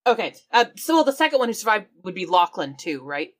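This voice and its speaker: A woman's voice: medium pitch, sing-song, fast, a bit bright. A woman playing a tabletop game, talking in character and describing what her character does. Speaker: player.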